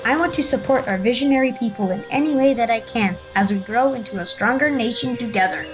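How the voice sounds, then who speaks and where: A woman's voice: on the high side, fast, close to an everyday voice. A woman playing a dignified, enthusiastic emperor in an audio play, with earnest, declamatory delivery. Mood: proud, enthusiastic. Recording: music behind the voice.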